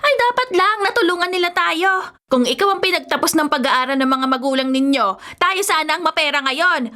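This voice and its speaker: A bitter Filipino wife in a radio drama. Her high, piercing voice nags and gripes loudly, full of ungrateful scorn. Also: expressive, fairly quick. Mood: bitter, scornful. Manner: nagging, loud griping. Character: bitter, ungrateful, sharp-tongued wife recovering from surgery.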